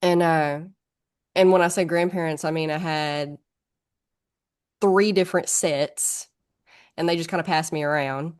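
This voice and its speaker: A woman from northern Alabama with a thick Southern accent, a podcast guest telling stories in a quick, chatty way. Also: low voice, fairly even intonation, darkish tone. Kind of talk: casual conversation.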